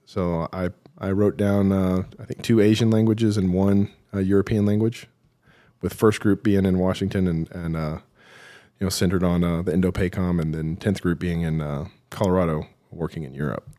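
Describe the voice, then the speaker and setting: A man being interviewed as a military veteran, telling his story haltingly with self-deprecating, dry humour. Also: deep voice, fairly even intonation, mellow. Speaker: podcast guest, military veteran.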